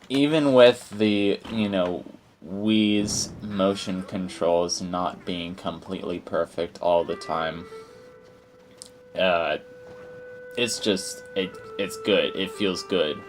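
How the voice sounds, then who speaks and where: Young male let's-play host, very deep and croaky, rambly delivery, faint music underneath. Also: steady tone, slow pace. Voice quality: low and croaky. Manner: rambling, many fillers and restarts. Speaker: gaming channel host. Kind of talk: casual let's play commentary.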